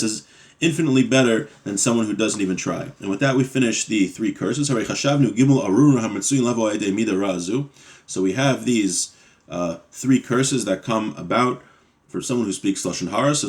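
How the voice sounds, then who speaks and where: Man teaching a religious text. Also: medium pitch. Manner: reads Hebrew passages then translates and explains them, with fillers and restarts. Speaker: Torah class teacher. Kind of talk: explaining a religious text line by line.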